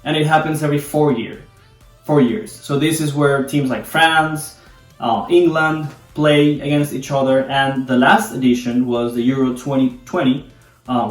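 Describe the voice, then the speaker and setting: Man in an echoey room. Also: mid-range voice. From Colombia. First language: Spanish. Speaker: video host explaining soccer. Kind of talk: casual explainer to camera. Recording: echoey room, distant mic, background music.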